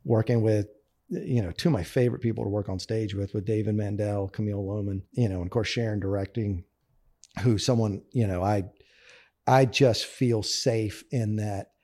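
A man's voice: lowish voice, fairly even intonation, normal speed, dark tone. A man being interviewed as a guest, talking eagerly and chattily, often restarting his sentences and laughing between thoughts. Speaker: guest actor. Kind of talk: casual conversational interview.

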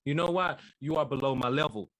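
A man's voice: a little high, fairly quick, a bit bright, poor audio. A man delivering a mock wrestling trash-talk promo, boastful and intense, taunting his rival over and over. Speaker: podcast guest competitor.

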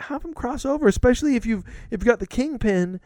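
A man in his thirties hosting a podcast, chatting casually in excited bursts with lots of restarts. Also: very high-pitched, fast, dry recording. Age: older than co-host, roughly 30s. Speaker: podcast host. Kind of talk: casual chat.

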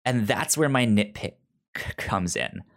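A man's voice: fairly low pitch, very animated, a little slow, vocal fry, a bit bright. A man co-hosting a film review podcast over a video call, talking casually with many stammered restarts. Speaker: podcast co-host. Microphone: remote video call.

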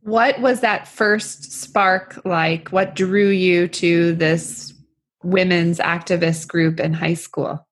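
A woman conducts an interview with a somewhat low voice and a very unhurried pace. She sounds attentive and encouraging as she puts conversational questions to her guest. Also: steady tone, slightly rough.

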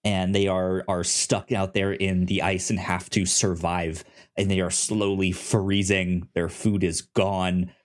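A man co-hosting a casual review podcast, talking informally and haltingly, often stuttering and repeating syllables. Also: deep voice, little pitch movement. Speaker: podcast co-host. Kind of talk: casual review show chat.

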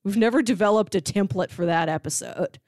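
A woman's voice: medium pitch, a little fast, darkish tone, no echo, clean studio sound. A woman co-hosting a podcast, chatting casually and playfully about media. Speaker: podcast co-host.

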